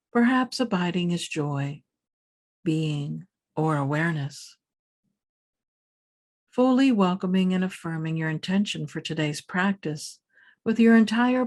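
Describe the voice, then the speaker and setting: Low-voiced woman guiding a calm meditation. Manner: calm, soothing, measured instruction with pauses. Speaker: meditation teacher. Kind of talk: guided meditation, scripted narration.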